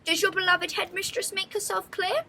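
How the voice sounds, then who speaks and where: A woman's voice: very high voice, steady tone, normal speed, gravelly, bright, forceful tone, a little hiss or hum, pitched above the performer's own voice, heavily acted. A woman voicing a stern, menacing, snobbish headmistress in a storybook, pompous and imperious as she gives orders. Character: stern, menacing, snobbish middle-aged headmistress of a posh school.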